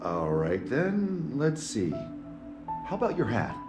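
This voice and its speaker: A man's voice: slow, reverberant, over music. A man voicing an awkward, affectionate young man in love in a video game, casual and sheepish. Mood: affectionate, teasing. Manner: casual, sheepish, lively swings in pitch. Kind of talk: English video game dub acting.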